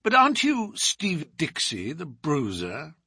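A man's voice: fairly high pitch, huge pitch swings, slow pace, vocal fry, sharp tone, husky, no room sound, voice pushed up, heavily acted. A man voicing a languid, ironic male detective who answers threats with dry mockery. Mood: cool. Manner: cool, dry, mocking.

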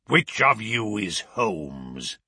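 A man's voice: on the low side, sing-song, extremely slow, bright, forceful tone, breathy edge, no room sound, clean studio sound, heavily acted. A man voicing a huge, furious old doctor villain in a storybook reading, blustering and threatening with a snarl. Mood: menacing. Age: older.

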